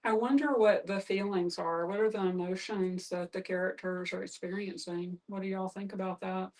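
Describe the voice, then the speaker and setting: A woman's voice: medium pitch, fairly even intonation, normal speed, a little mellow. A woman facilitating a conversational group discussion, inviting others in with open questions. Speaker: guest facilitator, therapist. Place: live group gathering in a room. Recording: distant, off-mic pickup.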